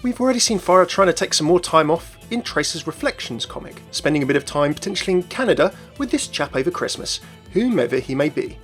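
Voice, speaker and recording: Higher-pitched man presenting gaming news over music. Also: animated, fast, a bit bright. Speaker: gaming channel host. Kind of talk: scripted gaming news commentary.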